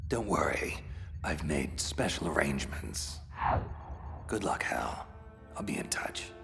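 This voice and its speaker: A very deep male growl for a grim, seasoned soldier. The acting is game drama, gravelly and set in long reverb. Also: sing-song, slow pace, full character voice. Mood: resolute. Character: grim, battle-hardened middle-aged male soldier hero. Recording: long reverb with music behind.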